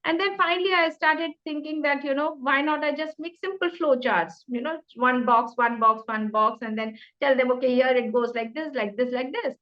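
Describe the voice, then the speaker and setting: A woman's voice: high voice, normal speed, few pauses, dull audio. A middle-aged woman with an Indian accent speaks conversationally as an interview guest, talkative and emphatic, often repeating phrases. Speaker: interview guest, career changer.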